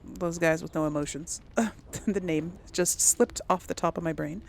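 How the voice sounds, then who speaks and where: A woman's voice: lowish voice, steady tone, moderate pace, creaky, slight background noise. A woman hosting a gaming video, role-playing casually and musing aloud in a hesitant way. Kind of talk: casual role-play reactions over a game.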